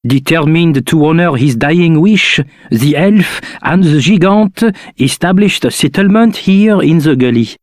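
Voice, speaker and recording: An earnest video game storyteller. He narrates slowly and solemnly in a slightly high, dry voice. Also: lively intonation, a little mellow, no room sound. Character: earnest storyteller recounting an old village legend.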